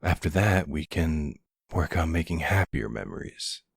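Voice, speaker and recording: A man acts out a silver-fox mafia boss in an intimate ASMR roleplay. His voice is extremely low and husky, and he takes his time over each soothing phrase. He is tender and protective, heard close and dry. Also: expressive, no echo, full character voice. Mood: reassuring, protective. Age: middle-aged. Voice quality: gravelly, husky, breathy. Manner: soothing, unhurried, full of pet names.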